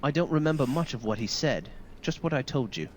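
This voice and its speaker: A man's voice: mid-range voice, animated, fairly quick, slight background noise, natural voice. An actor playing a grieving male soldier in a video game, speaking in a solemn, formal, deferential way. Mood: grim, haunted. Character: wounded, grieving male soldier recounting a massacre. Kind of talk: dramatic video game dialogue.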